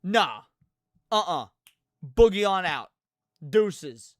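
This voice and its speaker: A man giving casual live reaction commentary as a streamer, animated and full of profane slang. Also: high-pitched, extremely slow. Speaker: streamer reacting to horror video.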